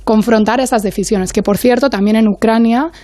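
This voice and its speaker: A woman speaking as an academic guest on a panel, in a measured, analytical way, often searching for her next word. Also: on the high side, steady tone, warm tone, no echo, clean studio sound.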